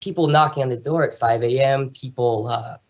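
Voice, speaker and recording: Male American podcast guest, conversational and animated storyteller, mid-pitched voice, slightly lo-fi recording. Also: a little fast. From New Jersey, United States. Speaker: podcast guest, travel show host. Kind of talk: conversational interview, enthusiastic storytelling.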